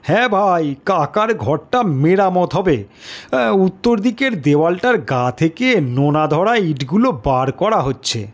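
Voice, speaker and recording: Concerned, familiar young male pal, acted by a male storyteller, raised pitch, slow emphatic delivery for a suspense audio story. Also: very animated. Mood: matter-of-fact. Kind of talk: dramatic audio story acting.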